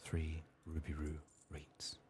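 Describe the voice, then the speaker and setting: A man quietly narrating a game playthrough in soft-spoken ASMR style, murmuring to himself and often dropping toward a whisper. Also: extremely low pitch, completely flat delivery, slow, background noise. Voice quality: soft, hushed, breathy in whispers. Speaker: gaming streamer.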